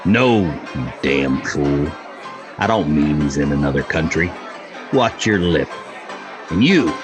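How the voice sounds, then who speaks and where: A man's voice: rumbling low, expressive, music in the background, a crowd in the background, full character voice. A man voicing a cantankerous old Wild West storyteller with a wheezy, folksy delivery, in an audiobook reading. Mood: irritable. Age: older. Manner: folksy, gruff, drawn-out yarn-spinning.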